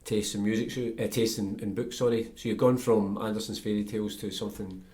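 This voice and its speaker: A man's voice: on the low side, steady tone, fairly quick, darkish tone. A Scottish man hosting a casual, conversational book interview. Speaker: podcast host, interviewer.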